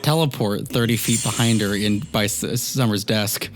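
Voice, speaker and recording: A man's voice: lowish voice, steady tone, moderate pace, background noise. A man playing a tabletop game, switching casually between describing his character and speaking as them. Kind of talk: casual in-character roleplay and narration. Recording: music bed under the voice.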